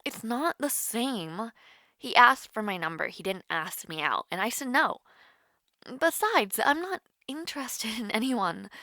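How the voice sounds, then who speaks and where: Woman acting a shy, high-voiced young college girl. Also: no room sound, clean studio sound, full character voice. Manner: hesitant, flustered, soft-hearted, giggly. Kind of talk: intimate romantic audio roleplay, one-sided call.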